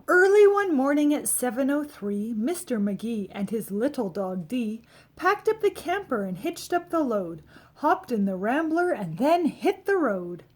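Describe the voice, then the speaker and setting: A woman reading a rhyming children's picture book aloud, expressively, with dramatic emphasis and drawn-out key words. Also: on the high side, sing-song, a little mellow. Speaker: storybook reader.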